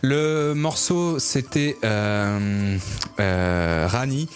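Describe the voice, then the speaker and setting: A man's voice: on the low side, animated, extremely slow. A man hosting a music radio show, chatting casually with many fillers and restarts.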